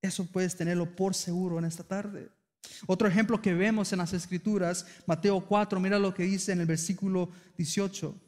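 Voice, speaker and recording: Man, Argentine accent, pastor, earnest sermon, high voice, long church-hall reverb. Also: steady tone, moderate pace, darkish tone. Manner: earnest preaching, calls the congregation for amens. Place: church sanctuary.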